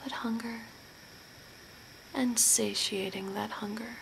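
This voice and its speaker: A woman whispering softly and breathily in a soothing, deliberate ASMR role play, close to the mic. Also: a little high, fairly even intonation, rough recording. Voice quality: breathy, mostly unvoiced whisper. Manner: soft, deliberate, soothing with short phrases. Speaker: ASMR performer playing a zombie makeup artist. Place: small curtained room at home. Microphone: close to the mic at a desk. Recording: clear background noise, room ambience.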